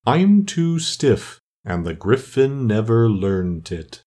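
A man voicing a melancholy old Mock Turtle from a storybook, solemn and self-pitying, with slow, drawn-out speech. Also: mid-range voice, sing-song, mellow. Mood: sighing, solemn. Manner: slow, drawn-out, mournful delivery with heavy pauses.

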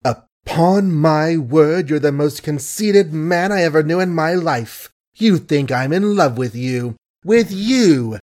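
A man's voice: medium pitch, expressive, normal speed, hardly any pauses, lightly acted. A man voicing a scornful, tearful young woman who berates her suitor in emphatic, repetitive rants, in a storybook reading. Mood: furious. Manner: emphatic, repetitive, ranting and mocking.

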